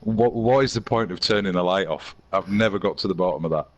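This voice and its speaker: A hoarse man from England with a Yorkshire accent, chatting casually as an interview guest and laughing easily. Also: sits low, fast talker. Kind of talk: casual chat.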